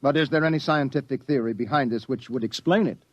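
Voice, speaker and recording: A man's voice: mid-range voice, fast, no echo, natural voice. An actor playing a skeptical, questioning young male reporter who is easily unnerved, performed in an old radio drama style. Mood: incredulous. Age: young adult. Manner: questioning, incredulous. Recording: dull lo-fi old radio recording.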